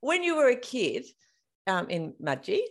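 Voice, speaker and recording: A woman's voice: mid-range voice, wildly swooping pitch, a little slow. An Australian woman hosting a podcast, chatting casually and informally as an interviewer. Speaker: podcast host.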